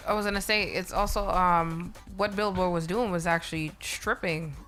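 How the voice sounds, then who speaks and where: A woman's voice: fairly low pitch, fairly even intonation, moderate pace, fairly bright tone, faint music behind. A woman interviewing a musician, talking in a chatty, direct way and teasing her guest with pointed questions. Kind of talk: casual interview.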